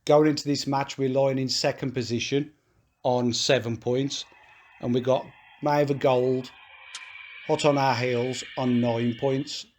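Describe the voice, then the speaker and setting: A man's voice: mid-range voice, level tone, slow pace, darkish tone, a little hiss or hum. Bunged-up man talking through his tactics. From England. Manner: matter-of-fact, talks through his tactics step by step. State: congested from a cold. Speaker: match angler vlogging his match. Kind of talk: casual explanatory commentary while fishing. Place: outdoors at a fishing lake.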